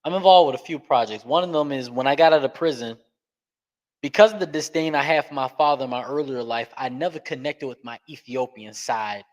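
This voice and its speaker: Earnest man speaking emphatically. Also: mid-range voice. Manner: earnest, emphatic, speeds up and slows down for stress. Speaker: podcast guest. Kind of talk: candid interview talk.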